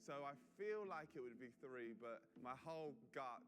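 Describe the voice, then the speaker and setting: A man's voice: mid-range voice, animated, moderate pace, music in the background. A man in his twenties, a game show contestant who quizzes the others in a playful, teasing way. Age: 20s. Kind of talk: casual game show banter.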